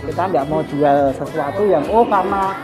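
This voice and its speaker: Thoughtful man talking over restaurant music. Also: a little high. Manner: thoughtful, pauses with many fillers. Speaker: restaurant owner. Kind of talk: casual interview answers. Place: busy restaurant. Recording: loud music and crowd chatter. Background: music and diners chattering.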